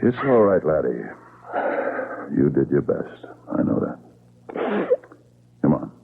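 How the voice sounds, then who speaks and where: An actor playing a consoling man in an old-time radio drama, with a gravelly, husky voice and unhurried manner. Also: a bass voice, sing-song, exaggerated voice. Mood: condescending comfort. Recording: thin phone-like old radio recording.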